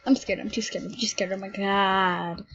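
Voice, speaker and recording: A woman commenting on a game she plays, excitable and jumping between shrieks and hushed whispers, sick and shivering with cold. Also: mid-range voice, moderate pace, breathy edge, highs cut off. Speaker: game video creator. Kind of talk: casual gameplay commentary.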